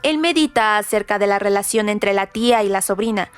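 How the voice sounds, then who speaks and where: A woman reading scripted literary trivia in a measured way, as a radio presenter. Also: medium pitch, clear, resonant tone. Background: background music.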